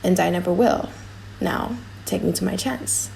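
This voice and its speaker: A woman's voice: lowish voice, normal speed, vocal fry, a little mellow, a little hiss or hum, poor audio. Audiobook drama: female reader as proud princely suitor, solemn, ardent, declamatory. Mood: resolute.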